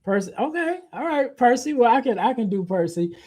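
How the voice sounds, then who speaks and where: A man hosting a podcast, asking questions in a relaxed, friendly way with lots of fillers. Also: extremely high pitch, fairly quick. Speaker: podcast host. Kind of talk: casual interview hosting.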